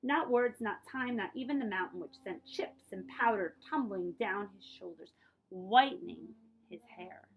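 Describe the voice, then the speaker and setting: A woman reading a picture book aloud like a librarian, with expressive delivery, dramatic emphasis on key words and explanatory asides. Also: medium pitch, a little slow, vocal fry, slight background noise, poor audio.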